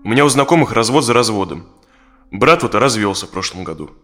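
Low male voice, conflicted forty-year-old husband, hesitant, confessional, naturalistic audio play, faint guitar music behind. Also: lively intonation, quick pace, pushed and bright. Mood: uneasy, earnest. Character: conflicted married dentist of forty, confiding in a therapist. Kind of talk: naturalistic audio drama dialogue. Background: plucked guitar music.

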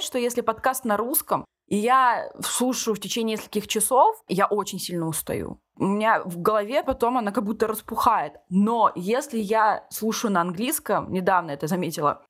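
Casting: female podcast host, mid-range pitch, informal chatty interview delivery. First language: Russian. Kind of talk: casual conversational interview.

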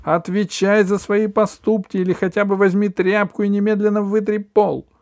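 A man voicing an exasperated mother who scolds her son in a sharp, raised voice. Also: mid-range voice, unhurried, no room sound, studio quality, voice pushed up, natural voice.

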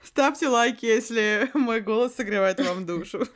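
A woman's voice: a little high, moderate pace. A woman co-hosting a podcast, chatting casually between reading a story aloud, with a giggly, theatrical, foul-mouthed manner. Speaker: podcast co-host.

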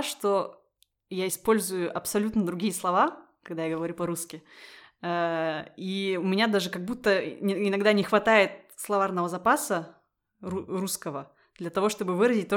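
A young woman from Russia with a fairly low voice chats in a relaxed, thoughtful way, stopping often to search for words and breaking into light laughter. She speaks as a podcast guest in casual conversation. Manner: thoughtful, many fillers and laughs.

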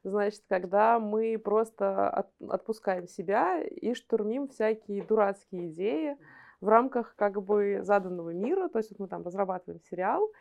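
A woman's voice: mid-range voice, animated, moderate pace, vocal fry, darkish tone, dull audio. A woman talking casually as a guest in a conversational interview. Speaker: animation studio guest.

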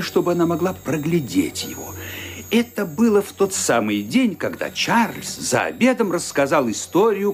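An actor narrating a radio mystery play as an expressive storyteller. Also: male voice, on the high side. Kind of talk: scripted radio drama narration.

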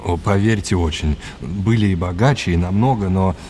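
An actor playing a cultured, courteous male gallery expert who explains things in a measured storytelling manner. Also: low-pitched, slight background noise, lightly acted. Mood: admiring. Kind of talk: audio drama, guide-like narration. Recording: loud music behind the voice. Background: music.

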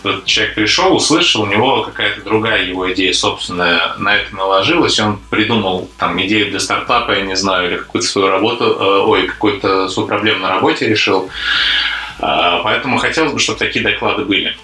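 A man with a low, bright, forward voice talks through ideas in a loose panel chat. He hesitates and fills gaps with "uh" as he thinks. The room around him is noticeably echoey. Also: a little fast, soft background music. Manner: thoughtful, hesitant with frequent fillers. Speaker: conference program committee member. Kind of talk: casual panel discussion.

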